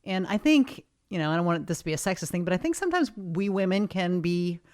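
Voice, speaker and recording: A woman's voice: fairly low pitch, very animated, fast talker, darkish tone. A woman co-hosting a casual interview, encouraging the guest and summing up her points. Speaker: podcast co-host.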